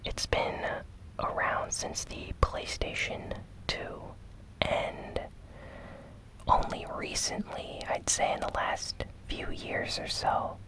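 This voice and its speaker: A host whispering a gaming news recap in a gentle, deliberate, breathy ASMR style. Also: poor audio. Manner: slow, gentle, deliberate delivery with short phrases.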